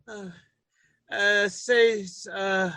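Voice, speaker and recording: An actor playing a bumbling, flustered working-class husband in a radio comedy, stammering and folksy. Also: male voice, high-pitched, little pitch movement, drawn-out words, bright, forceful tone, slight background noise, heavily acted. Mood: flustered, stalling. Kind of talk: old-time radio comedy acting.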